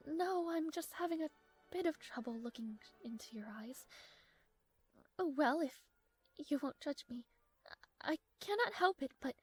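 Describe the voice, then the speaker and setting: Woman acting a high-voiced, proud young princess. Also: darkish tone, dry recording. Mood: flustered, shy. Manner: formal regal diction, haughty, hesitant stammering when flustered. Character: strong-willed, proud young princess, easily flustered, secretly smitten. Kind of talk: audio roleplay acting.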